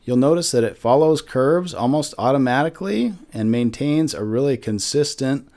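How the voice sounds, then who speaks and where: A man's voice: medium pitch, animated, normal speed, a little mellow. A man in his forties giving a friendly step-by-step woodworking lesson in his wood shop. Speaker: woodworking instructor. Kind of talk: instructional shop tutorial. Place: wood shop with lumber racks, indoors.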